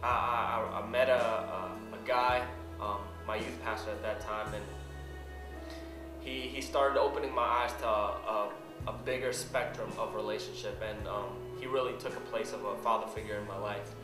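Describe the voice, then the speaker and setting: A young man from the Philippines giving an earnest personal testimony, halting and repeating words as he goes. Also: on the low side, fairly even intonation. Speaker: church member telling his story. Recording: roomy sound with music behind.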